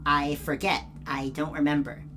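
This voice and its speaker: A woman's voice: low voice, flat delivery, moderate pace, close to an everyday voice. A woman acting in an audio drama as a guilt-ridden, frightened young student who is anxious and defensive. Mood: guarded, evasive. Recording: noticeable background noise and ambience.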